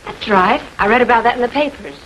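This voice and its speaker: An actress playing a sly, needling secretary in a 1940s filmed crime drama. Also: female voice, fairly high pitch, fast talker, fairly bright tone, close to an everyday voice. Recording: noisy old 1940s film soundtrack.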